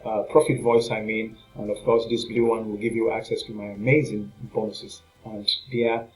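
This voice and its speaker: Man pitching products, salesy and hesitant. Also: flat delivery, normal speed, darkish tone, soft background music, low-quality recording. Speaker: online marketing product reviewer and channel host. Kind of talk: unscripted promotional review to viewers.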